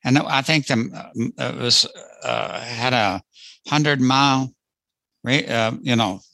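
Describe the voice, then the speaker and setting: A man's voice: mid-range voice, slow pace, pushed and bright, no echo. A man talking casually in a group discussion, hesitant and repeating his phrases. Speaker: book club participant.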